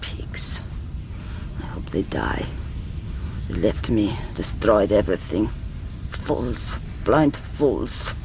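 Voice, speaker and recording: A woman playing a bitter, fervently patriotic German in a radio drama, intense and clipped, with a German accent and halting English. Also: a bass voice, animated, darkish tone, slight background noise, full character voice.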